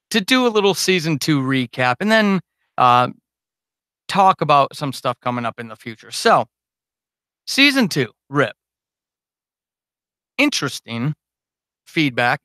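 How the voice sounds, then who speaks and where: Bright-voiced man chatting on a livestream. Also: medium pitch, clean tone, no echo, clean studio sound. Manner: rambling, self-deprecating, informal with mild swearing. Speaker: podcast creator and host. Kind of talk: casual unscripted livestream chat to supporters.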